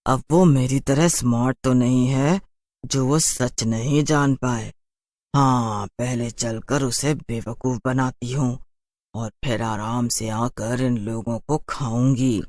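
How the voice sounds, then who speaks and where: A man voicing a sly, boastful city witch in a cartoon horror story, in a slow drawl. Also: mid-range voice, steady tone, a little mellow, dry recording, studio quality, voice pushed down, natural voice. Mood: scheming, smug.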